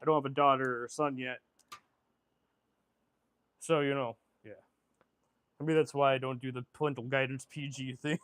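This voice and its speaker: A man's voice: mid-range voice, level tone, normal speed, pauses often, fairly bright tone, no echo. A man in his twenties chatting casually on a livestream, reading viewer comments aloud and answering them with laughs, stumbles and repeated words. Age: 20s. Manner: reads viewer comments aloud and rambles back. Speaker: streamer.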